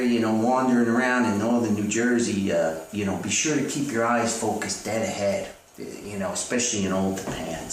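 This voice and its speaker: Echoey, folksy man rambling comic advice. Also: medium pitch. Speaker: comedic advice host. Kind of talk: rambling comedic advice talk, reading out letters. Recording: echoey, distant mic with faint noise.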